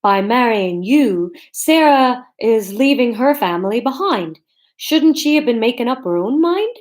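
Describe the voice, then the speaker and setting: A woman's voice: on the high side, animated, a little slow. A woman voicing an earnest, questioning male deity in a story, slightly dim-sounding, with a made-up, vaguely Canadian accent. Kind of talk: audiobook-style character dialogue.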